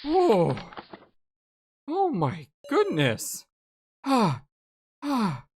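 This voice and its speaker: A woman voicing an anxious, easily startled girl from a visual novel, expressive and hesitant. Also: on the high side, extremely slow, a little hiss or hum, voice pushed up, exaggerated voice. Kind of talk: visual novel character read-aloud.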